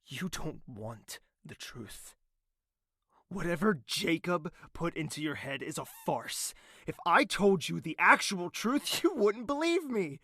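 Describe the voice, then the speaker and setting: Fantasy audio drama: defiant, embittered prince played by a man, creaky and unhurried, sardonic, hushed one moment and explosive the next. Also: on the high side, sing-song, no echo, studio quality, exaggerated voice. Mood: scornful. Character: embittered crown prince, proud self-confessed villain, wounded and defiant.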